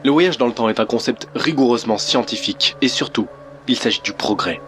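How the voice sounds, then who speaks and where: A man's voice: mid-range voice, brisk, fairly bright tone, soft background music, close to an everyday voice. A man acting in an audio drama as a confident rationalist giving an opinion on progress. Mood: assertive. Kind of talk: acted vox pop in audio drama.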